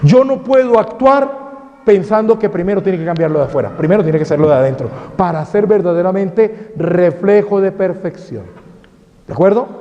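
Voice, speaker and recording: A man's voice: high voice, mellow. A man, a priest, preaching a sermon in a parish hall and firing off rhetorical questions to the crowd. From Colombia. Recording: dull sound with long hall reverb.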